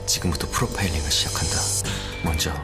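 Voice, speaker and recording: A man acting a calm criminal profiler in an audio drama, speaking in a measured, grave, deliberate way. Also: extremely low pitch, in a whisper. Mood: brooding, analytical. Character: calm, brooding male criminal profiler working a murder case. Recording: music bed under the voice. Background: background music.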